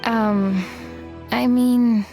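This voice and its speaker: A woman's voice: on the high side, fairly even intonation, extremely slow, a little mellow. A woman acting a self-doubting, discouraged young woman in a video game, hesitant and reluctant, with music behind. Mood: defeated, reluctant.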